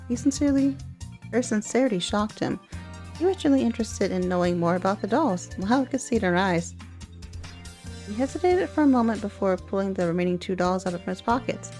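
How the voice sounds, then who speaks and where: A woman's voice: mid-range voice, darkish tone. A woman hosting a let's play, reading a visual novel aloud and reacting casually. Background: faint music.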